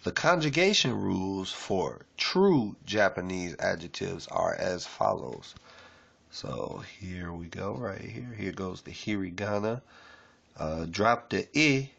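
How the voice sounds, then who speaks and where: Slow, gravelly deep-voiced man. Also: animated, fairly bright tone, highs cut off. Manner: rambling, loose and unpolished, with many fillers; reads aloud haltingly with restarts. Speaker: self-taught learner reading a lesson. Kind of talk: casual screen-share stream.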